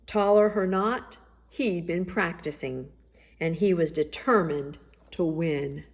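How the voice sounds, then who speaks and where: A woman reading a children's story aloud in an expressive, deliberate storytelling style. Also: fairly low pitch. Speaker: storybook reader. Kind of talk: scripted children's story narration.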